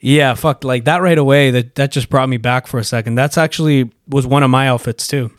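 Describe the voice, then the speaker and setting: Male podcast host, mid-pitched, rambling and profane, close dry mic. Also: brisk, no room sound. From Canada. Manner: rambling, profane, many fillers. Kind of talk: casual chat.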